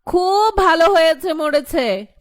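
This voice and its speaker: Woman acting spiteful housewife, high slow voice. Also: animated, no room sound, studio quality, full character voice. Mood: bitter, spiteful. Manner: scornful, sarcastic, complaining. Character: spiteful older housewife, bitter mother-in-law. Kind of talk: animated horror story drama dialogue.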